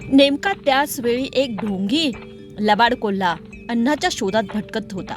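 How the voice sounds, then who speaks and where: Female narrator for children's moral tales, high-pitched voice, scripted storytelling over light music. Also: moderate pace.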